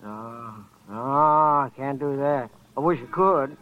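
An actor playing an indifferent, wisecracking, leering clerk of a cheap hotel in an old radio drama. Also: male voice, medium pitch, slow, close to an everyday voice. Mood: dreamy, leering. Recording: dull, noisy old radio recording.

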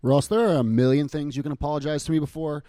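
A man's voice: fairly high pitch, fairly even intonation, quick pace, warm tone, slight background noise. A man talking through a soccer match in conversational sports analysis on a podcast.

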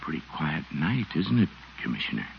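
An actor playing an unhurried, complacent small-town police chief in 1940s radio comedy, folksy and placidly official. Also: male voice, rumbling low, very animated, gravelly, full character voice. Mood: hushed, placid. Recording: muffled, noisy 1940s radio recording.

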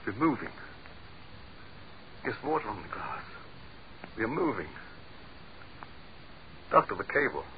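A man's voice: on the low side, sing-song, slow pace, pauses often, dull audio. A man in a 1940s radio horror drama playing an arrogant, death-obsessed dictator, grandiose and theatrical. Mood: startled, urgent. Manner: grandiose, theatrical, swinging from weary to frantic. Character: arrogant tyrant dictator, panicking and death-obsessed, trapped undersea. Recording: hissy band-limited 1940s radio recording.

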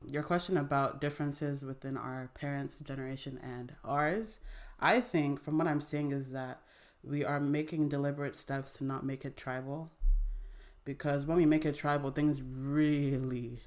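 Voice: mid-range voice, fairly even intonation, moderate pace. A young adult from Nigeria, a podcast guest, talking in a rambling, heated, casual way. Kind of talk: casual chat.

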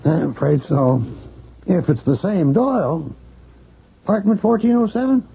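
A chatty apartment super passing on gossip in a vintage radio drama. His fairly high voice is gravelly and heavily rasped. The sound is dull and lo-fi. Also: very animated, measured pace, heavily acted. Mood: gossipy. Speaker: building superintendent. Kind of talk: 1980s radio drama acting.

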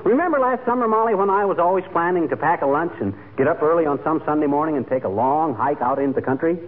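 An actor playing a boastful, lazy husband with an American accent, wisecracking folksily in 1940s radio comedy. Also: male voice, a little high, lively intonation, fast talker, close to an everyday voice. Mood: blustery boasting. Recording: tinny, noisy old radio recording.

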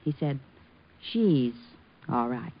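A teasing, jealous American sweetheart in an old radio drama. Her delivery is coy and arch, with very animated pitch. The recording is dull and lo-fi. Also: slow pace, vocal fry, full character voice.

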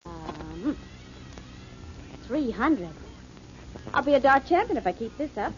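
A woman's voice: on the high side, very animated, slow pace, soft background music. An actress playing a playful, affectionate young woman companion in an old radio drama. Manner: bright, teasing. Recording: dull old radio broadcast with hiss.

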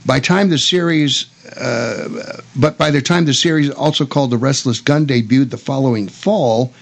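A man hosting a casual radio talk show, partly reading from notes in a halting way with repeated words. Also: medium pitch, vocal fry.

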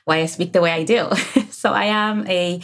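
An energetic woman in her thirties from the Dominican Republic, speaking with a Dominican Spanish accent as a guest on an interview podcast, who laughs often. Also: fairly low pitch, moderate pace, gravelly. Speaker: guest, career coach. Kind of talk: casual interview chat.

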